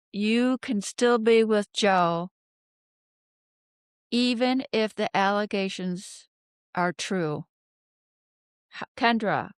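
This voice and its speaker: A woman giving an unscripted tarot reading, talking haltingly as she ponders aloud and poses rhetorical questions. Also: mid-range voice, clear, resonant tone, no echo, clean studio sound. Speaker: tarot card reader, host.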